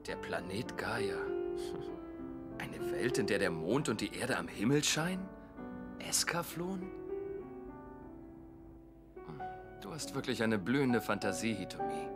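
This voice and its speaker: A lively, varied young male voice plays over loud music. He is a kindly, gently amused schoolboy acted by a man in an anime audio drama, with a soothing manner. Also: fairly low pitch, takes it slowly, pauses often. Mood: amused curiosity.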